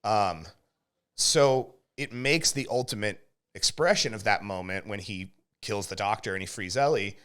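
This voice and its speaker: Low-pitched man explaining his opinions emphatically. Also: unhurried, a bit bright, clear, resonant tone. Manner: emphatic, explains at length, checks with "right?". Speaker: podcast host and game reviewer. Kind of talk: casual opinionated review chat.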